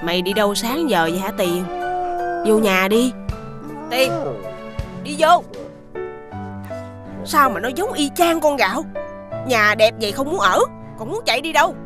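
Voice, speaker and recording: An actress with a southern Vietnamese accent playing a rich man's discontented, boastful wife in an animated folktale, complaining and self-important. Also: fairly high pitch, unhurried, long pauses. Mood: bored complaining. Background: prominent music.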